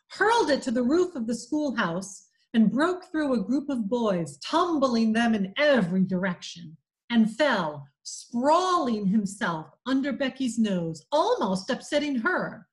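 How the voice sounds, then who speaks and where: A woman reading a classic novel aloud, slow and expressive, giving the characters voices. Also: fairly high pitch. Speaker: community reading program volunteer reader.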